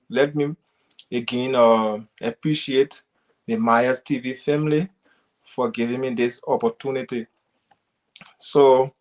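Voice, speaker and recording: A young man from Liberia speaks as a guest on a live panel. He greets the audience formally and talks in a polite, measured way with small hesitations. His voice sits at a middle pitch for a man. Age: young adult. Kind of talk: formal greeting and self-introduction.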